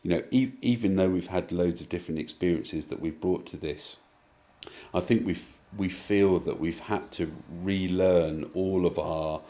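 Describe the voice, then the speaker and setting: A man's voice: sits low, moderate pace, dark tone. A man giving a reflective interview answer, thoughtful and hesitant as he circles through rephrasings.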